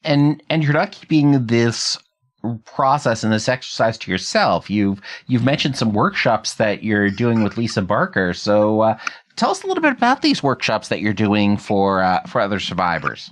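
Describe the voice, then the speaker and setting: A man with a fairly low voice hosts a podcast interview. He talks in a conversational way, putting questions and reflections to his guest. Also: sing-song, normal speed.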